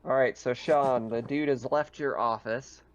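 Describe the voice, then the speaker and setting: A man's voice: medium pitch, steady tone, unhurried, dull audio. A man speaking casually as game master, narrating a tabletop roleplaying game. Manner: casual, conversational.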